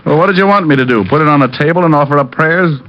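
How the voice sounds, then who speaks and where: An American actor playing a wisecracking, world-weary private detective who also narrates a vintage radio drama, flippant and sardonic. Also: male voice, fairly high pitch, racing, close to an everyday voice. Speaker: lead and narrator. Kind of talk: 1940s-50s radio detective acting and narration. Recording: muffled old radio recording.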